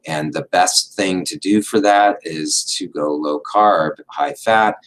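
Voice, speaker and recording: Very low-voiced man, slightly hoarse. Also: a bit bright. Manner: unhurried, explanatory, many fillers and restarts. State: losing his voice. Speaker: doctor hosting a diet support livestream. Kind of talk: casual livestream talk.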